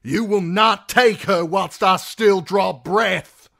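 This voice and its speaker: A man voicing a gallant, defiant knight in a fantasy audiobook, loud and impassioned. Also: sits high, slow pace, creaky, voice pushed up, heavily acted.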